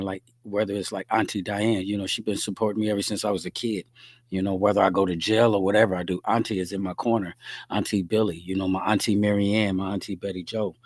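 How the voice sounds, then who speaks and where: A middle-aged man hosting his podcast alone, hoarse and relaxed, chatting to viewers and answering their comments. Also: lowish voice, no echo. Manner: laid-back, talks straight to viewers, reads out comments. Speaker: podcast host. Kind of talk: casual solo livestream chat.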